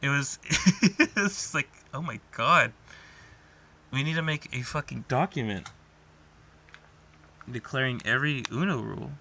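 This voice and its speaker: A man's voice: mid-range voice, expressive, a little slow, gravelly, pauses often, sharp tone, a little hiss or hum. A young adult man co-hosting a podcast in casual, argumentative banter, swearing freely as he talks. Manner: profane, argumentative. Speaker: podcast co-host.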